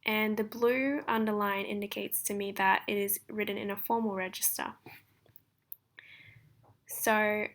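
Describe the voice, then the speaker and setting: Flat, gravelly-toned young woman presenting. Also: mid-range voice. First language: Japanese. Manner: formal, measured, read-through delivery. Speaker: translation student. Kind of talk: recorded class presentation.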